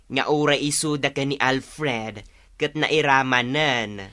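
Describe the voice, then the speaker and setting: A man acting a chatty, confiding village tattler in an animated Ilocano comedy radio drama. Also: mid-range voice, takes it slowly.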